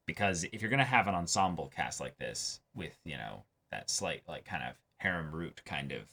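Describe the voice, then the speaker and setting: A young man co-hosting a casual anime discussion, talking in a halting way with repeated words. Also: very deep voice, very animated, gravelly, sharp tone. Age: young adult. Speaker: co-host. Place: small indoor room set up for filming.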